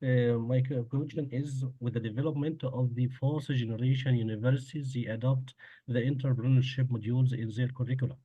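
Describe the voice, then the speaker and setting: A man, a doctor in the audience, asking the lecturer a formal, halting question in an online webinar. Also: mid-range voice, fairly even intonation, mellow, husky, dry recording. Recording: dull lo-fi dry online audio.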